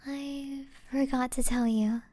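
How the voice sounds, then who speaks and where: A woman playing an affectionate, gently flustered young gamer girlfriend in a soft-spoken, hesitant ASMR roleplay, often slipping into breathy murmurs. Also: high-pitched, steady tone, takes it slowly, dry recording. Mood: sweet, soft.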